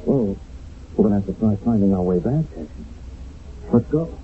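An actor playing a commanding army sergeant on patrol, urgent and decisive, in a radio drama recorded from an old broadcast. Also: male voice, on the low side, animated, moderate pace, phone-quality sound, very noisy, lightly acted. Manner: urgent, decisive, giving orders.

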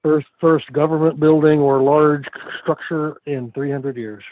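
A man's voice: fairly high pitch, fairly even intonation, measured pace, dry recording. A man who is a guest on a podcast, telling stories in a rambling way with many restarts. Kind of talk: casual chat. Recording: muffled lo-fi sound.

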